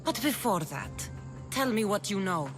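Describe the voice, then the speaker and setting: A woman's voice: mid-range voice, animated, measured pace, lightly acted. An actress voicing a ruthless young woman cult champion in a game cutscene, cold and taunting. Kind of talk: video game cutscene acting. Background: game score music.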